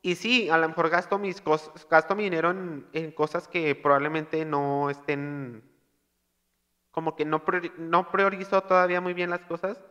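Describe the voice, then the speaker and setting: A man's voice: high-pitched, pushed and bright. A man with a Monterrey Mexican Spanish accent, chatting casually on a podcast and jumping in with questions. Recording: long room reverb.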